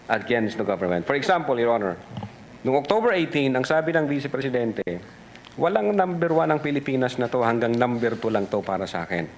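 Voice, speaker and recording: A Filipino man gives formal witness testimony in a mid-pitched voice. He is deliberate and mixes English with Tagalog, with faint noise behind him in what sounds like a broadcast hearing. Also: low-quality recording.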